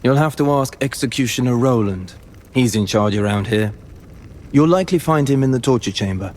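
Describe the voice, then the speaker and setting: A man's voice: medium pitch, expressive, a little fast, darkish tone, slight background noise, close to an everyday voice. A man playing a deferential, matter-of-fact dungeon guard in a video game. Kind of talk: video game character acting.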